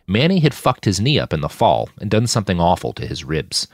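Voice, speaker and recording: A man with a low voice narrates fiction with drama and very animated intonation. The delivery is expressive and scripted, recorded dry and close. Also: fairly quick, no echo. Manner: expressive, shouts out characters' lines. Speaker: audiobook narrator reading own fiction podcast.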